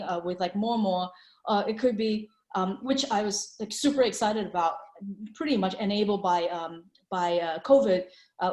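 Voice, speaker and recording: A woman's voice: mid-range voice, darkish tone. A woman talks through her slides on a video call, chatty and loose, full of fillers and restarts. Her voice sits mid-range, and a roomy echo hangs around it. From Hong Kong. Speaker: venture capital panelist. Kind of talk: presenting slides in an online panel.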